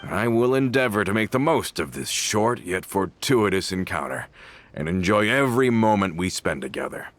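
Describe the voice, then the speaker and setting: A man's voice: fairly low pitch, lively intonation, normal speed, no echo, close to an everyday voice. A man voicing a loyal, courteous male gang member in a video game, with formal phrasing. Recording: game audio with faint music.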